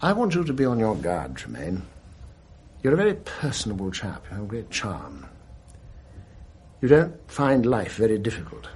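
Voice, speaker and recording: An actor playing a genial, watchful boys' school headmaster in a radio drama, English, with a measured, old-fashioned clipped way of speaking. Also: male voice, fairly low pitch, huge pitch swings, a little mellow. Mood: gravely warning. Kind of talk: 1980s radio drama acting.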